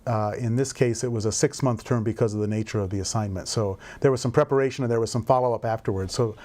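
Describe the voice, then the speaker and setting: A man's voice: fairly low pitch, steady tone, quick pace, a little mellow. Middle-aged man, thoughtful and rambling. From grew up in Indonesia and Indiana. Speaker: volunteer program director. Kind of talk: conversational interview.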